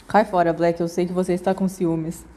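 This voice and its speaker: A woman's voice: on the low side, level tone, moderate pace, dark tone, lightly acted. A woman voicing a guarded, wry, reserved teenage schoolboy in a storybook reading. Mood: dismissive teasing.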